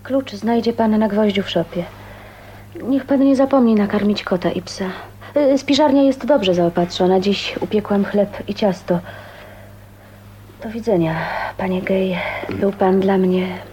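A woman's voice: medium pitch, a little slow, mellow, a little hiss or hum, close to an everyday voice. An actress in a radio play voicing a shy but determined, well-bred young woman, polite, hesitant and sincere. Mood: quiet farewell.

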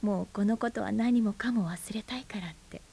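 A woman playing a blunt, guarded aunt with buried grief in a Japanese radio drama, speaking casually in a husky, breathy voice. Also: medium pitch, moderate pace, warm tone. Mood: quiet, sorrowful. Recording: 1990s FM radio drama on cassette.

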